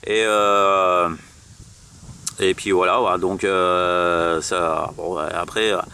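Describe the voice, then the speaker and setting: A man in a casual amateur radio chat, speaking haltingly and repeating himself with many verbal tags. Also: sits low, level tone, bright, forceful tone. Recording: noisy radio transmission, vehicle-like hum.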